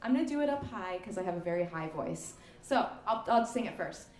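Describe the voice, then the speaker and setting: A woman's voice: medium pitch, lively intonation, brisk, a little mellow. A young woman leading a music workshop on a stage mic, chatty and upbeat, counting the band in. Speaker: workshop leader, klezmer musician. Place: community center hall. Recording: roomy hall.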